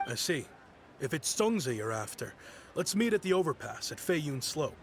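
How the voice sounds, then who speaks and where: An actor playing a proud yet kindly merchant in a video game, speaking in a friendly, reflective, softly rueful way. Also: male voice, a little high, sing-song, normal speed, background noise. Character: nostalgic grown-up male merchant, proud but kindly. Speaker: businessman. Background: game music.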